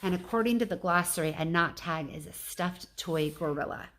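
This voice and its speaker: Woman reading aloud, slightly low voice. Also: normal speed, fairly bright tone. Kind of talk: storybook narration with casual asides.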